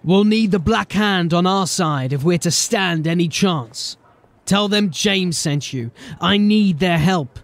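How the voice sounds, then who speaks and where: A man's voice: high voice, slow. A man playing a grim, determined city watch officer in a fantasy audio drama, speaking in a terse, commanding way. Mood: resolute.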